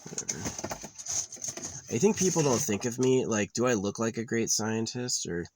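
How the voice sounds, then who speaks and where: A young man talking in a rambling, offhand way at a quiet outdoor campsite, often trailing off with filler. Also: medium pitch, very animated, moderate pace, slightly rough. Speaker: vlogger. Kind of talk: rambling casual monologue.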